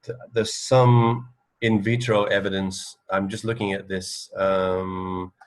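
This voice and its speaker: Man, low voice, casual radio discussion, tentative and halting. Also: level tone.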